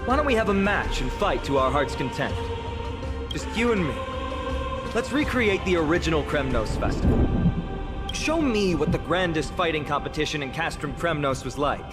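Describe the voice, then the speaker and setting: A man's voice: fairly high pitch, big pitch swings, normal speed. An actor playing a cheerful, playful young swordsman in a fantasy game, teasing and theatrical in delivery. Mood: eager, challenging. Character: cheerful, playful young heroic male swordsman eager for challenge. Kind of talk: scripted fantasy game voice acting. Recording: loud game music underneath.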